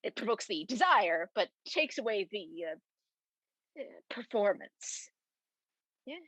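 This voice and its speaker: Young woman acting tipsy castle gatekeeper, bright forceful voice, bawdy, grumbling, exaggerated comic Shakespeare, dry sound. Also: mid-range voice, sing-song, slow pace, husky, dry recording, heavily acted, rough recording. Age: young adult. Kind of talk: amateur student Shakespeare comic monologue.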